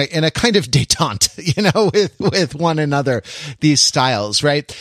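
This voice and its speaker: A man's voice: fairly high pitch, a bit bright, dry recording, clean studio sound. A man chatting casually as a podcast co-host, with a wry, teasing way of talking. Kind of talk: casual chat, discussion.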